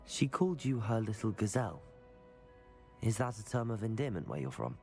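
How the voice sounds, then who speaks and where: A man's voice: lowish voice, sing-song, fairly quick, gravelly, mellow, faint music behind. A young man playing a composed, helpful teenage student in a fantasy game, polite and inquisitive. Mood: concerned. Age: young adult. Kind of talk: video game acting.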